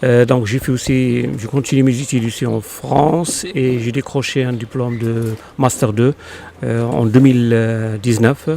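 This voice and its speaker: A man in his fifties from Morocco, whose first language is Arabic, speaking slowly in an interview with many pauses and fillers. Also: medium pitch, little pitch movement, vocal fry, a crowd in the background. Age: 50s. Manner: halting, many fillers and restarts. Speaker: interviewed poet. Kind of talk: hesitant conversational interview. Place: local podcast studio.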